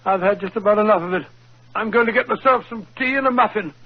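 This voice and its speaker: A blustering middle-aged doctor sidekick in an old radio play, grumbling at everything. The voice sits high and sounds dulled by a muffled vintage recording. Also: male voice, quick pace. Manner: gruff, grumbling, easily exasperated. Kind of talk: 1940s radio acting.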